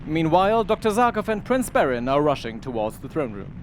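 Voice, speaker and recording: A man's voice: a little high, big pitch swings, brisk, noisy background. A man narrating as a pompous, melodramatic announcer in 1930s radio style.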